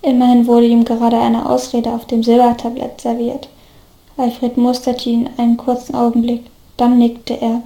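A woman's voice: on the high side, completely flat delivery, moderate pace, mellow, roomy sound. A woman narrating a scripted story.

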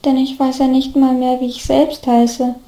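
A woman voicing a bewildered, cautious young man in a storybook, quiet and hesitant. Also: high-pitched, little pitch movement, normal speed, reverberant, low-quality recording. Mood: evasive. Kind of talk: storybook dialogue.

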